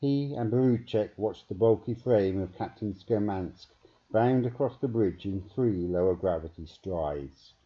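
A man reading a story aloud as a dramatic, deliberate audiobook narration, on a muffled recording. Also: fairly low pitch, expressive, husky. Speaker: author reading own story. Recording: dull, muffled narrow-band recording.

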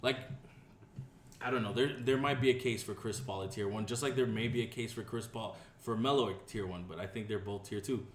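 A man's voice: lowish voice, brisk. A man co-hosting a casual podcast, joking and swearing, talking loosely with lots of fillers. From Dominican family. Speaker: podcast co-host. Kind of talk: casual chat. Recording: echoey room with faint hiss.

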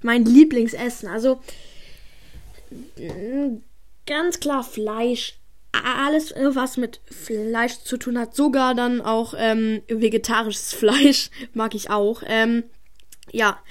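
Brief: German woman, higher voice, unhurried and halting, slangy Q&A vlogger. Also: fairly even intonation. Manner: frequent stammers and restarts, slangy. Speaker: video creator answering viewer questions. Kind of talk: casual Q&A chat.

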